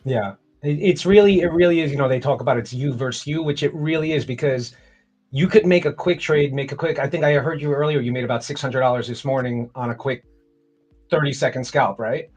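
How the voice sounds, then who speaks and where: A middle-aged man talks in a relaxed, meandering way, often restarting his sentences. His voice sits at an ordinary middle pitch. Faint music plays behind him. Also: fairly quick, slightly rough. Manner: laid-back, rambling, frequent restarts. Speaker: guest trader. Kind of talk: casual livestream chat.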